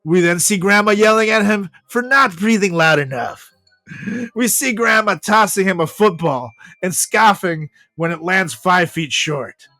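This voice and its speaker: A man's voice: sits high, a little slow, bright, forceful tone. A man hosting a comedy podcast, moving between casual banter and reading a comic story aloud. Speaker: podcast host. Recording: echoey room with music behind.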